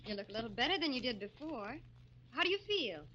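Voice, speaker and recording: An actress playing a kindly, trusting young woman, solicitous and expressive in 1940s radio style. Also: fairly high pitch, fast talker. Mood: friendly, puzzled. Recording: dull, noisy old radio recording.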